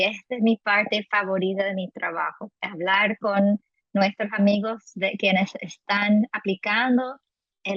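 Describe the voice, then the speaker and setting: A woman's voice: medium pitch, no echo. Chatty woman interviewer on a dull line. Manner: friendly, with many fillers and restarts. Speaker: podcast co-host and interviewer. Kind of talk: casual interview chat.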